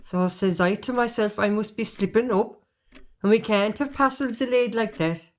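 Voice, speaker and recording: A woman's voice: mid-range voice, normal speed, natural voice. A woman acting a chatty, nosy Irish post carrier in an amateur drama, with a folksy, roundabout way of talking. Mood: breezy.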